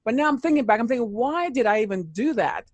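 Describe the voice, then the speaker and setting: A woman hosting a relaxed interview, her faint Jamaican accent coming through on certain words. She talks in loose, chatty runs full of "you know," and her voice sits close and dry, with no room around it. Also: a little high, fast, dry recording. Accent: slight Jamaican. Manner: chatty, warm, leans heavily on "you know". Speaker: podcast host. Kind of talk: casual interview chat.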